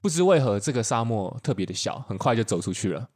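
Casting: male podcast storyteller, medium pitch, lively intonation, playful improviser. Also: quick pace.

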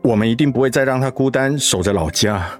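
A man voicing a dutiful middle-aged son and schoolteacher in a radio drama, speaking in a steady, subdued way. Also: medium pitch, fast, faint music behind, close to an everyday voice. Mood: somber.

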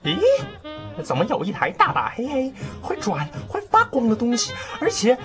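A woman playing a naive, excitable young male rookie in a radio drama, often stammering and repeating words. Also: fairly high pitch, normal speed. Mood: amazed. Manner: stammering, breathless repetition of words. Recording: music behind the voice.

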